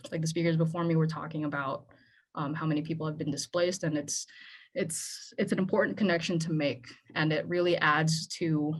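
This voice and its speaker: A young woman from the Philippines, Tagalog her first language, gives a panel talk. Her voice sits a little low, and she speaks earnestly, often checking in with "right?". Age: young adult. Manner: earnest, conversational, with frequent "right?". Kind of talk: speech at a panel event.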